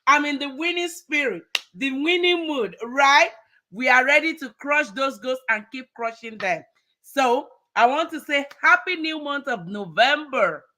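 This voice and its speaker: A woman hosting a live motivational talk show, energetic and upbeat, often ending phrases with "right?". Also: sits high, a little slow. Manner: energetic, repeats words, ends phrases with "right?". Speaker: host.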